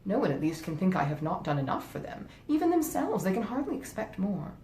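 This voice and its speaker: In a roomy space, a woman narrator plays a grave, well-meaning gentleman from a storybook classic. He deliberates earnestly and a little pompously, in a mellow voice with lively intonation. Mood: self-satisfied. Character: grave, well-meaning but easily swayed gentleman husband. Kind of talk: acted dialogue in a classic novel reading. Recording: roomy echo, faint rumbling noise.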